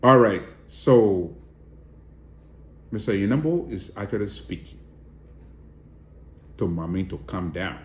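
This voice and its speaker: A man's voice: lowish voice, lively intonation, slow pace, pauses often, roomy sound. A man with a Liberian accent giving direct, emphatic political commentary and appealing to viewers. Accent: Liberian English.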